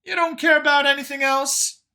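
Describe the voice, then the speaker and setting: A man's voice: very high-pitched, steady tone, fairly quick, pushed and bright, voice pushed up, heavily acted. A man voicing a skeptical, sardonic backwoods war veteran doctor in an audiobook story, questioning everything with incredulity. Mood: probing.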